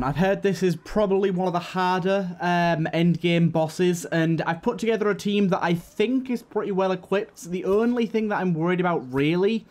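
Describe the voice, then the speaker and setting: A man's voice: sits high, darkish tone. A man hosting a gaming channel, giving casual let's play commentary.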